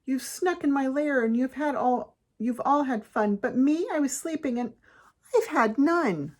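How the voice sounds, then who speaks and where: A woman's voice: sits high, a little slow, pitched above the performer's own voice. Woman playing a big, aggrieved storybook bear. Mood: aggrieved, whimpering. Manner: loud, wounded, dramatic complaining. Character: big grumpy self-pitying bear in a storybook.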